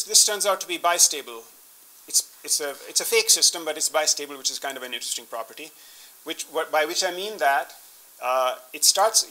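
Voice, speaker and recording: A man's voice: fairly high pitch, sharp tone, low-quality recording. A man in his fifties explaining slides in a scientific talk as a keynote speaker, often restarting his phrases. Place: indoor conference room, standing at a podium with laptop.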